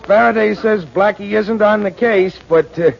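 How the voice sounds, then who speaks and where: A chatty, slangy newspaperman with an offhand manner. His voice is high for a man and full of gravelly creak. It is heard through a muffled 1940s radio broadcast. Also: moderate pace. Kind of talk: 1940s radio drama acting.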